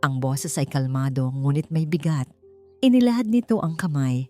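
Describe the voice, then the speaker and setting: A woman narrating an audiobook romance story, speaking in an expressive storytelling manner that climbs higher at dramatic moments. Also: normal speed. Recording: faint background music.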